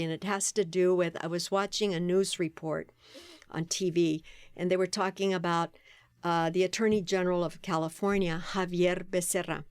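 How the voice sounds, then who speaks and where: A woman guest on a podcast interview, telling stories in an animated way and laughing often. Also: fairly low pitch, clean tone, no room sound, clean studio sound. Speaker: guest, playwright and performer.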